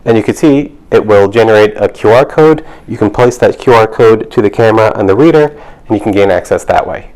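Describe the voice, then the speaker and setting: A man's voice: on the low side, brisk, a little mellow, low-quality recording. A man demonstrating a product, explaining it step by step in an instructional way.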